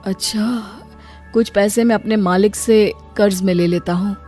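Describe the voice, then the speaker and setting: A woman voicing a patient, self-sacrificing poor brother in a story, gentle and earnest. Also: mid-range voice, steady tone, measured pace, faint music behind, lightly acted.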